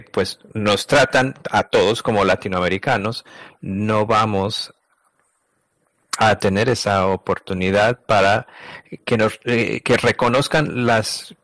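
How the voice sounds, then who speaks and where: A man interpreting a conversation, speaking in a halting, stop-and-start way and often correcting himself mid-phrase. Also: on the low side, fairly bright tone, no room sound, poor audio. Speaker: interpreter voicing a translated conversation.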